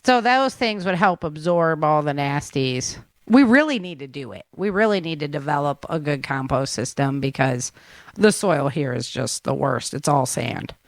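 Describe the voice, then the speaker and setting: A woman with a fairly low voice hosts a casual interview podcast. She talks in a rambling, conversational way, and the sound is dry, with very little room in it. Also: expressive, normal speed, no echo. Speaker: podcast host.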